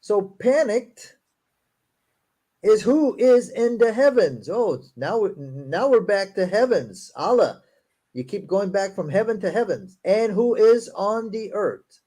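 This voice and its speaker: A man who speaks English as a second language, with Portuguese as his first, and a fairly high but mellow voice. He reads text slowly and breaks in with sarcastic asides. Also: expressive. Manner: reads verses aloud slowly, then comments in a quick, sarcastic tone. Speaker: show host. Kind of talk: casual critical discussion.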